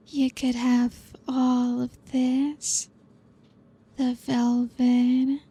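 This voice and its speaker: Woman playing a breathy seductive vampire. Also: high-pitched, full character voice. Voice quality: soft breathy whispery delivery. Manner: slow, teasing, coaxing. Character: seductive possessive female vampire hostess. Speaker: ASMR roleplay performer. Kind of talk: ASMR roleplay.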